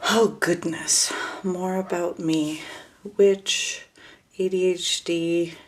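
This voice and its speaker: A woman talking casually to camera as she introduces herself, in a monotone voice with hesitant pauses and restarts. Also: fairly low pitch. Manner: monotone, flat delivery; hesitant, many fillers and restarts.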